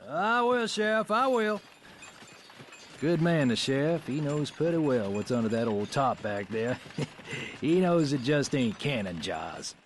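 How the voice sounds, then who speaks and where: An actor playing a rough but wily Appalachian moonshiner father in a radio drama, with drawling, ungrammatical country speech. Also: fairly high pitch, lively intonation, unhurried, a little hiss or hum. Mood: sly, folksy. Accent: American Appalachian.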